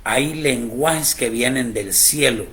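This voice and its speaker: A man teaching a Bible program as a pastor, preaching slowly and emphatically, his voice rising on key points. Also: medium pitch, fairly bright tone.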